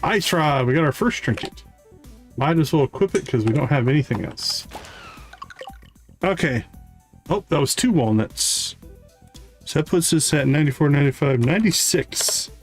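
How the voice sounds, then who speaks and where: A man's voice: on the high side, expressive, moderate pace, long pauses, faint music behind. A man hosting a live game stream, giving casual commentary and thinking aloud as he plays. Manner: thinks aloud while playing.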